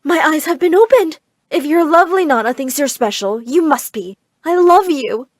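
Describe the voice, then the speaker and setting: Woman acting melodramatic mother, high-pitched, snobbish, scolding, overbearing, animated storytelling. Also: moderate pace, no room sound, studio quality. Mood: gushing. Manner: shouting, scolding, dramatic.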